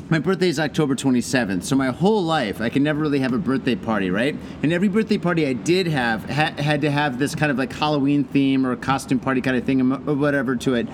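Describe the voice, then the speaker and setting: A man's voice: mid-range voice, expressive, fairly quick. A man co-hosting a casual podcast in a bar, loose, joking and swearing freely, with faint bar noise behind. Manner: loose, profane and jokey, slips into put-on voices. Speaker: podcast co-host. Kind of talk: casual drinking chat.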